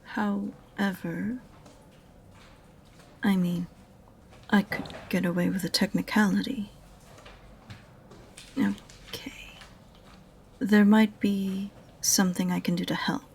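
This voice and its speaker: A woman playing an unenthusiastic, sarcastic witch librarian in an audio roleplay, speaking softly and breathily with a condescending, exasperated manner. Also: medium pitch, level tone, slow pace, pauses often, slight background noise. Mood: dry, reluctant. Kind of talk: audio roleplay acting addressed to the listener.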